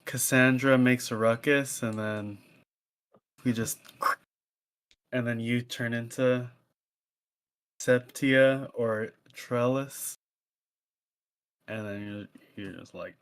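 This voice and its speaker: A man's voice: mid-range voice, steady tone, slow pace, long pauses, clean tone. A man playing a tabletop game, suggesting plans in a laid-back way that trails off. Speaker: player. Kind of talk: casual tabletop game talk.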